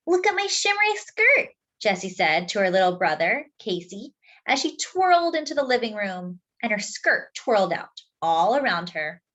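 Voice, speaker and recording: A woman reading a picture book aloud to young children, expressive and deliberate, drawing out key words. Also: medium pitch. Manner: deliberate, with drawn-out emphasis for young listeners. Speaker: children's storyteller.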